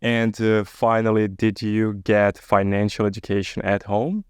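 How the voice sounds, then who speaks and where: A man's voice: on the low side, fairly even intonation, measured pace, clean tone, dry recording, clean studio sound. A man hosting a podcast, asking short, curious questions. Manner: curious, brief prompting. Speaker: podcast host. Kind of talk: interview questions.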